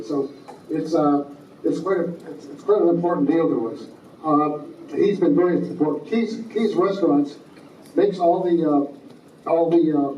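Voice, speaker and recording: A man giving informal announcements at a meeting, halting and full of fillers and restarts. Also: fairly high pitch, warm tone, a little hiss or hum. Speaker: society president. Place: meeting hall. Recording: echoey, distant, muffled room recording.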